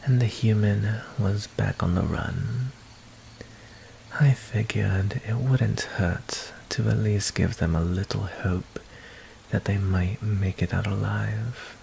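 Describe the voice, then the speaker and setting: A man voicing a calm, menacing vampire who toys with his prey, narrating an ASMR roleplay in a deliberate, hushed voice that slips into whispers. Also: fairly low pitch, a little hiss or hum, poor audio. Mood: amused, toying. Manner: slow, deliberate, hushed delivery slipping into breathy whispers.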